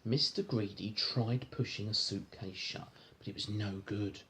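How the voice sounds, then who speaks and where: A man's voice: fairly low pitch, unhurried, bright, forceful tone, slight background noise. A man reading a storybook aloud to a child, chatting in a coaxing way with frequent little questions. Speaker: parent reading a bedtime-style story to a child.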